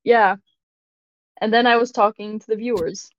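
A woman hosting a casual podcast in a fairly deep voice, rambling and stumbling over her words a little. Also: fairly even intonation, normal speed, no echo. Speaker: podcast host. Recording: dull lo-fi dry audio.

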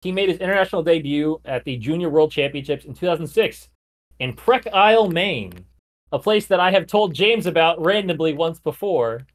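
A man's voice: a little high, expressive, moderate pace. Chatty man co-hosting a sports podcast. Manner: chatty, lots of "like" and "you know", occasional restarts. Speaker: podcast co-host. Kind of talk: casual chat, recounting a sports career.

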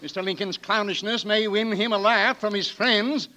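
Pompous, higher-pitched prosecutor, played by a man. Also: steady tone, normal speed, noisy background, close to an everyday voice. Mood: indignant. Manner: formal, oratorical, insistent. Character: pompous, pressing male courtroom prosecutor. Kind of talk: 1940s radio acting.

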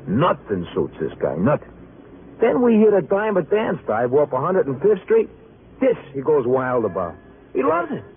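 An actor playing a chatty, streetwise cab driver with a New York accent and colloquial delivery in a vintage radio drama. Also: male voice, a little high, wildly swooping pitch, narrow, tinny audio, soft background music. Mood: animated. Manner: colloquial, colorful, halting storytelling. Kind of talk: 1950s radio drama acting. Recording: thin vintage radio with faint noise.

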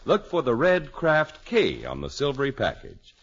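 A male radio announcer from the fifties reads a scripted pitch with hugely swooping intonation. His voice is husky, and the old recording is muffled. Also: huge pitch swings, normal speed. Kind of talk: scripted 1950s radio announcing and sponsor advertisement.

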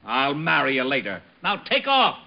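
An American actor playing a gruff, exasperated male police lieutenant with a brusque delivery in a 1950s-style radio drama. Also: medium pitch, huge pitch swings, moderate pace. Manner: brusque, barking. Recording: noisy, dull vintage radio recording.

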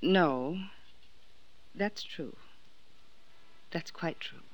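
A woman's voice: low-pitched, drawn-out words, rough recording. A woman playing a haughty, suspicious society woman with a clipped, imperious manner, in 1950s radio drama style. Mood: haughty, bitter.

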